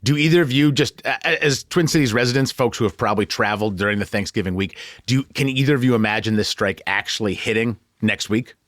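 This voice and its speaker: A man's voice: mid-range voice, fast talker, hardly any pauses, a bit bright, no room sound, studio quality. A man hosting a news podcast in a conversational style. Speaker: podcast host.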